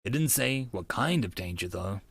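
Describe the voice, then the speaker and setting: A man's voice: lowish voice, animated, normal speed, fairly bright tone, pitched below the performer's own voice. A man playing a proud, skeptical young man who is easily flustered, telling his own story in an audiobook. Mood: questioning.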